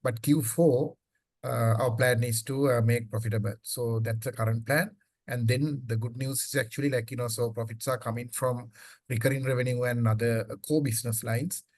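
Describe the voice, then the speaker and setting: A man's voice: medium pitch, steady tone, normal speed, dry recording. A man speaking as a company executive, answering questions off the cuff in a conversational way, often saying "like, you know.". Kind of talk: unscripted business earnings call.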